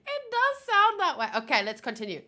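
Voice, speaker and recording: A woman's voice: very high voice, wildly swooping pitch, fairly quick, pushed and bright. A woman whose first language is Afrikaans, chatting casually in a rambling, giggly way and often correcting herself as she hosts a manga read-along.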